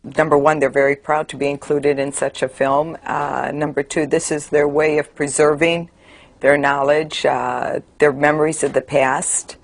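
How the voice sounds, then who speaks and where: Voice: medium pitch, moderate pace, vocal fry. A speaker born and raised in the United States, speaking earnestly in a documentary interview with frequent fillers. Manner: even, earnest, many fillers.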